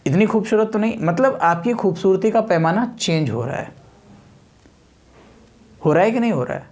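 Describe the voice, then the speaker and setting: A man's voice: high voice, lively intonation, moderate pace, darkish tone. Man teaching like a coaching instructor. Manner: explanatory, repeats key terms and poses rhetorical questions; code-switches into English technical terms. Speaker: physics teacher. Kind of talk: exam coaching lecture with everyday examples.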